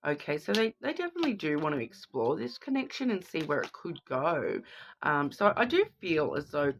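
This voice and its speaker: A woman's voice: sits low, sing-song, normal speed, gravelly, background noise. A woman with a cold, sounding sniffly, giving a chatty card reading to viewers and often checking in with "okay?". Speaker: tarot card reader.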